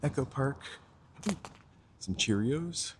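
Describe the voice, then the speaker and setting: A man's voice: medium pitch, lively intonation, takes it slowly, background noise. A man chatting casually to viewers as he walks city streets, greeting them by name and pointing out sights. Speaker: live-stream walking vlogger. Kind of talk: casual live-stream chat to viewers. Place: outdoors on city streets, walking. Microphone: handheld gimbal camera mic.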